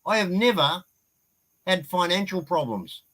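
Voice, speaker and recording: A man's voice: high-pitched, sing-song, measured pace, a bit bright. A man, a guest on a casual live-stream chat, speaking bluntly and swearing casually.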